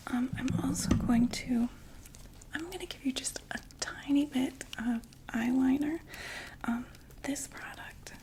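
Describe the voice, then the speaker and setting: A woman whispering a gentle, soothing ASMR roleplay as a friendly makeup saleswoman, often repeating words softly. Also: high-pitched, gravelly, poor audio. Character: friendly female vampire door-to-door makeup saleswoman.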